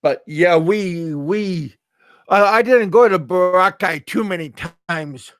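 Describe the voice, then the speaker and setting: A middle-aged American man chatting casually on a live stream, halting and repeating his words. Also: sits high, fairly bright tone. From USA. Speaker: livestream host.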